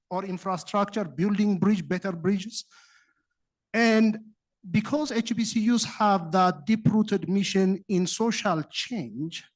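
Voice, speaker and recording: A man from Ethiopia with an Ethiopian accent, a college dean putting an earnest, explanatory question to a panel with hesitant pauses. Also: high voice. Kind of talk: spoken question at a panel discussion.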